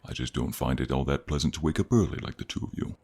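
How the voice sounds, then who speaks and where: A man playing a proud, boastful Norse thunder god with a gruff, husky voice in a fantasy audio roleplay. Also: rumbling low, fast, darkish tone, exaggerated voice. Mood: indignant, boastful. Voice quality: gravelly, husky. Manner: boastful, pompous, easily provoked.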